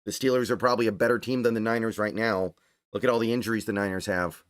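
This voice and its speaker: A man hosting a casual sports livestream, thinking aloud and repeating points for emphasis as he answers viewer chat, his voice starting to go a little hoarse. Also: on the low side, steady tone, fast talker, dry recording, studio quality. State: voice getting strained, starting to go hoarse.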